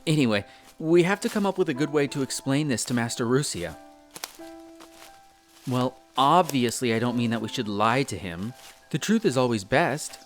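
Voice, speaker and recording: Guy doing a young elf explorer for a roleplay audio, earnest n bookish, bit hesitant, middling pitch, music under it. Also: expressive, moderate pace, natural voice. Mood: thoughtful, nervous. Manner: hesitant, chatty, explains eagerly. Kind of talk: scripted roleplay audio drama addressed to the listener.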